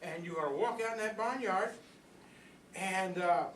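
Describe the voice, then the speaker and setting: In an echoey room, a little far from the mic, an older man plays an old rooster telling a comic monologue. The voice is high for a man, and the delivery is folksy, earnest and rambling, like someone proudly sharing a philosophy of life. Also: normal speed, a bit bright. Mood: earnest, wondering. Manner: folksy, rambling, conversational storytelling with repeated phrases. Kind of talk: comic monologue performed live for an audience. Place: indoor workshop room before a group. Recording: echoey room, distant mic, background noise.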